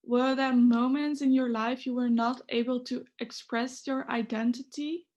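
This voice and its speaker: A woman's voice: high-pitched, fairly even intonation, a little slow, darkish tone, lo-fi sound. A young woman moderating a casual video call chat, asking questions unhurriedly. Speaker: discussion moderator.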